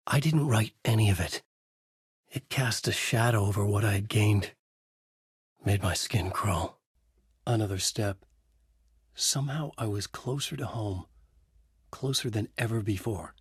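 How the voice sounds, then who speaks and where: A man's voice: mid-range voice, breathy edge, no echo, clean studio sound. A man playing a haunted, brooding writer hero in a video game, speaking intense noir lines in short clipped phrases. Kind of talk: noir scripted narration and dramatic game dialogue.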